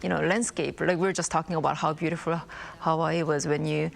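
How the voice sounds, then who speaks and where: A woman's voice: sits low, fairly even intonation, brisk, creaky. A woman in her thirties from South Korea with a Korean accent, answering interview questions thoughtfully with many fillers and restarts. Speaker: filmmaker guest. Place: broadcast studio.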